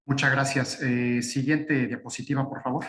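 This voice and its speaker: A man giving a webinar talk in a roomy-sounding space over a video call. He speaks at a mid pitch, pausing often on "eh" and restarting his phrases as he works through his points. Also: level tone, a bit bright, slight background noise. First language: Spanish. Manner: halting, frequent "eh" fillers and restarts. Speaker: guest lawyer. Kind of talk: webinar presentation.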